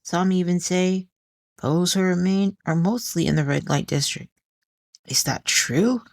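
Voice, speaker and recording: A woman voicing a petite younger socialite who is sweetly flattering and slyly needling, in a polite audio drama performance. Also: fairly low pitch, unhurried, dry recording, clean studio sound, pitched above the performer's own voice.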